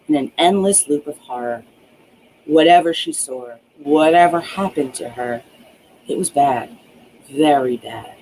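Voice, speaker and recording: A woman reading a story aloud as its author, with an expressive, theatrical delivery in a dramatic audiobook style. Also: medium pitch, slight background noise, low-quality recording. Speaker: author reading their own book aloud.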